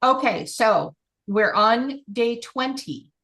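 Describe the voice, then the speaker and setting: A woman's voice: fairly high pitch, lively intonation, takes it slowly, fairly bright tone. A woman coach leading a group call, speaking in an encouraging, emphatic way.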